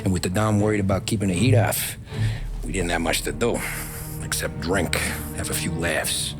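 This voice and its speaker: A man's voice: deep voice, fairly even intonation, normal speed, loud music behind the voice, lightly acted. An actor with an American accent playing a weary, wry mobster recounting his past matter-of-factly in a game. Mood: reflective. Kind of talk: cinematic game dialogue, storytelling.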